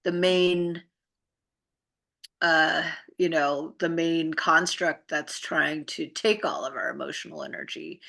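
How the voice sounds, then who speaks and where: Middle-aged female co-host, earnest explainer in a casual chat; low register, forward bright tone. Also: slow pace, vocal fry. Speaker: co-host and healing arts practitioner.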